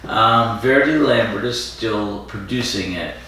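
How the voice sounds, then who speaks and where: A man's voice: fairly low pitch, unhurried, bright, forceful tone, a little hiss or hum. A man in his fifties chatting casually after a few drinks, rambling, repeating himself and chuckling as he co-hosts. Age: 50s. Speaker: podcast co-host. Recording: echoey room, distant mic, faint ambience.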